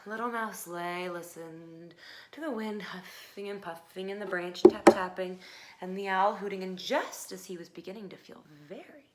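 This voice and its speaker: A woman narrating a children's picture book expressively, with dramatic pauses and hushed phrases. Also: mid-range voice. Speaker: storybook reader. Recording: faint background noise.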